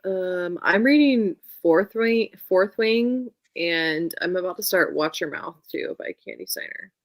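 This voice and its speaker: A woman with a slightly low voice talks books in a casual, expressive way. She is informal and emphatic, and the sound is dry, with very little room to it. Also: unhurried, no room sound. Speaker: book show co-host. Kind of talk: casual book chat.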